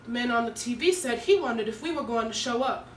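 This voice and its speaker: A woman's voice: on the high side, quick pace, lightly acted. A woman playing an earnest, nervous teenage schoolgirl who tells her story in an emotive dramatic monologue. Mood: earnest, uneasy. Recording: echoey room, distant mic, faint noise.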